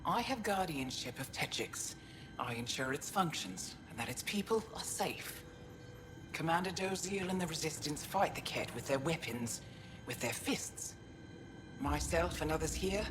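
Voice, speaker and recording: A woman playing a dignified, practical alien town steward in a video game, speaking in a formal, earnest, measured way. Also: low-pitched, animated, noisy background. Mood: proud, resolute. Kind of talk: video game character dialogue.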